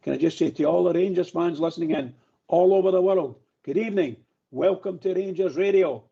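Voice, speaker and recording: A hoarse older man chatting as a pundit on a fan radio phone-in, hesitant with many fillers. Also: high-pitched, a little fast. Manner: hesitant, warm-hearted, lots of uh fillers. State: hoarse from shouting. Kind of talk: casual phone-in chat. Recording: muffled phone-in line.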